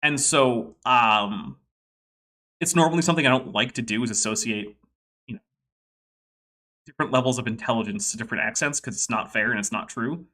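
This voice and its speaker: A man from the Boston area hosting a podcast and explaining things in quick, talkative bursts. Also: mid-range voice, bright, forceful tone. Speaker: podcast host. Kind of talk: casual commentary.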